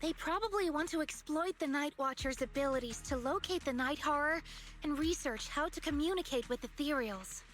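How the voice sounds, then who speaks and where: A woman voicing an earnest young illusionist in an anime-style video game, speaking in a gentle, thoughtful, explanatory manner. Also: sits high, moderate pace. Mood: thoughtful, serious. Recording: music behind the voice. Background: background music.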